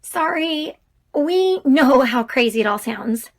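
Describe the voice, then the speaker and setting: A woman's voice: high-pitched, animated, slow, full character voice. A woman playing an earnest, sensitive academic in an audio drama.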